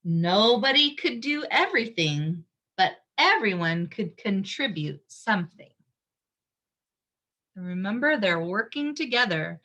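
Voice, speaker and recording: Expressive woman reading to children, slow and bright. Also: mid-range voice. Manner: expressive, simple explaining to young children. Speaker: read-aloud storyteller for young children. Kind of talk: picture-book read-aloud with explanatory asides.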